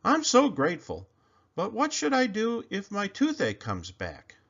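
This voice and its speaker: A man voicing a big selfish male crocodile in a storybook reading, loud and drawn-out. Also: wildly swooping pitch, creaky, heavily acted. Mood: friendly grateful. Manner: loud, booming, drawn-out threats. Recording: slightly muffled recording.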